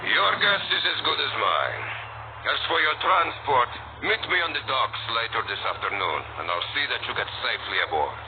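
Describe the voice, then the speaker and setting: Through tinny, very noisy audio comes a sly, jovial port smuggler , acted by a man. His voice is fairly high and swoops wildly as he teases in theatrical video game style. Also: very animated, normal speed, lightly acted. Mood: teasing, jovial. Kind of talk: video game dialogue acting. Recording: tinny narrow-band audio with loud noise.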